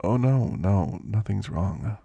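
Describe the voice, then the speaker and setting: Guy doing a creaky old grandpa werewolf, asmr roleplay, gentle n teasing, super close dry sound. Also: fairly low pitch, lively intonation, dry recording, highs cut off, full character voice. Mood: gentle, friendly. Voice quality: gravelly, creaky. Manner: unhurried, folksy, gently chatty. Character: kindly, frail elderly male werewolf, teasing and grandfatherly. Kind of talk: ASMR roleplay, intimate character monologue.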